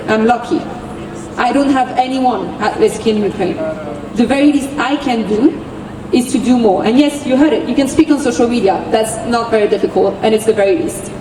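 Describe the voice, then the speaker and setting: A woman with a French accent giving an impassioned speech as an activist at an outdoor street rally, driving her points home with repeated phrases. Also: fairly high pitch. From France. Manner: forceful, repetitive rallying cadence. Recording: distant, echoey street recording with noise.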